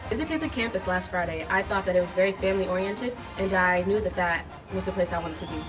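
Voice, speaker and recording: A woman's voice: on the low side, fast, over music. Earnest young woman, distant mic. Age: young adult. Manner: short earnest statements. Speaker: graduating student. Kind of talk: interview answers. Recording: distant mic, faint noise.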